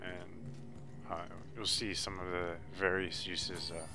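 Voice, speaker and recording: A man's voice: sits low, little pitch movement, takes it slowly, heavy background noise. A man giving a casual, matter-of-fact walkthrough commentary on a video game. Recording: game music behind the voice. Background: video game music.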